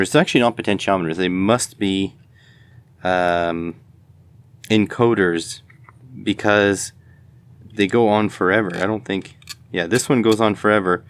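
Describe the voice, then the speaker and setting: A man's voice: lowish voice, clean tone. A man giving casual hands-on commentary as host of an electronics teardown video, tentatively thinking aloud as he goes.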